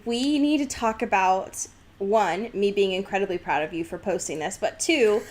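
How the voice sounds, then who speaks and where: A young woman co-hosting a podcast in casual, jokey banter, animated and quick to break into laughter. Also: medium pitch. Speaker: podcast co-host.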